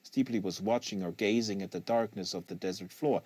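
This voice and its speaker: A man's voice: on the low side, fairly quick, a little mellow, husky, no echo. Dry, close-miked male novel reader. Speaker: audiobook reader. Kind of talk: literary narration read aloud. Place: small acoustically treated indoor studio. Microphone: close studio microphone on desk.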